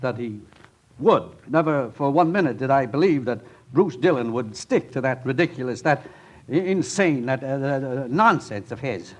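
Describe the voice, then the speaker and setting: An actor playing a flustered, indignant college official in a 1950s radio sitcom, stammering and repeating himself. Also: male voice, mid-range voice, animated, normal speed, lightly acted. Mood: agitated. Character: flustered, indignant, high-strung male college official, sputtering. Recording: noisy old 1950s radio recording.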